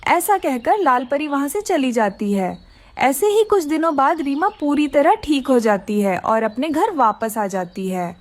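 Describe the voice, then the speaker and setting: High, animated female storyteller. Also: unhurried, no room sound. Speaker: narrator. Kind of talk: scripted children's story narration.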